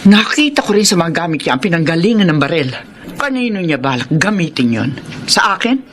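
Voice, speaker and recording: A woman's voice: lowish voice, sing-song, fairly quick, slight background noise. An actress playing a bitter, accusing older grandmother grieving her son, emotional and agitated, in a Filipino radio drama. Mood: alarmed suspicion.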